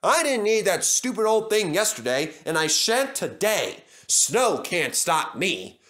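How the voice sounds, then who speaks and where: Guy doing a cocky lil storybook train, stubborn and excitable, pitched way up, super animated. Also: a little slow, full character voice. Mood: boastful. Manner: boastful, emphatic exclamations.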